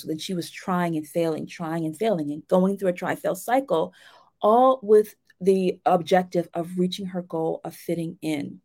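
A woman's voice: lowish voice, warm tone. A woman giving a casual instructional talk as a writing coach, speaking directly and encouragingly to her listeners in a conversational way.

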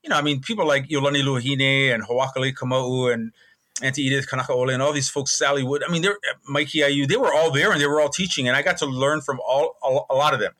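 A man's voice: medium pitch, steady tone, fast talker, bright, forceful tone. A man in his sixties from Hawaii, telling stories reflectively and explaining earnestly as a guest in a conversational interview. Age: 60s. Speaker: podcast guest, botanical curator and hula dancer.

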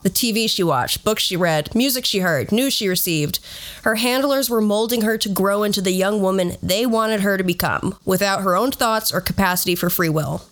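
A woman's voice: mid-range voice, moderate pace. A woman hosting a podcast, telling stories in a casual, conversational way with a sardonic edge and some swearing. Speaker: podcast host.

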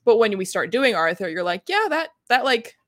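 A woman's voice: medium pitch, sing-song, a little fast, a bit bright, no room sound, studio quality. A woman co-hosting a podcast, casually discussing books in an analytical, explanatory and wry manner. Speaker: podcast co-host.

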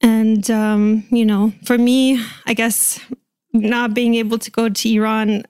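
A woman's voice: a little high, flat delivery, slow, a little mellow, smooth, no echo, studio quality. An American woman from the Washington DC suburbs, talking casually and hesitantly as an interview guest. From suburbs of Washington DC, USA. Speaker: podcast guest.

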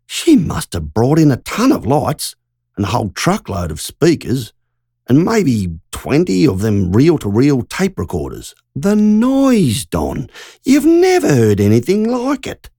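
A woman's voice: extremely low pitch, huge pitch swings, a little slow, dark tone, husky, dry recording, studio quality, pitched above the performer's own voice, full character voice. A narrator voicing Margie, a shaken country woman who tells a frightening story in an urgent, breathless rush. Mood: vivid recounting.

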